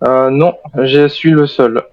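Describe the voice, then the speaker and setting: A man's voice: mid-range voice, steady tone, slow, highs cut off. A man playing an armed, wary but cooperative soldier survivor in an improvised zombie game roleplay, speaking formally and hesitantly. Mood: explaining, hesitant.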